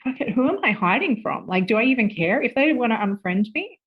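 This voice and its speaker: Animated, laughing woman in her forties. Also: a little high, a little fast, breathy edge, narrow, tinny audio. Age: 40s. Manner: animated, laughing, swears casually. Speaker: podcast guest. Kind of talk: casual interview chat.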